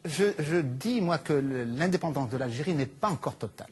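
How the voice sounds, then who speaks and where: Husky man, earnest and hesitant. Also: on the high side, lively intonation, a little fast. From Algeria. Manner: earnest, hesitant starts with repeated words. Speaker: author guest. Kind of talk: studio interview.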